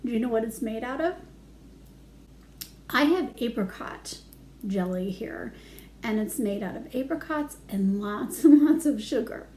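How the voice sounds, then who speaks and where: A woman's voice: on the high side, lively intonation, unhurried, long pauses, dark tone, a little hiss or hum, poor audio. A woman reading aloud to young children as a storytime educator, singsong, with simple chatty asides.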